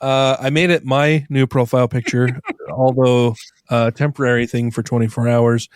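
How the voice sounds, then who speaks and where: A hoarse male podcast host, stuffy from laughing, talking in a rambling, giggly way with lots of restarts. His voice sits in a middle pitch. The sound is dry and close, with no room in it. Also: level tone, moderate pace, no echo. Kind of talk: casual podcast chat.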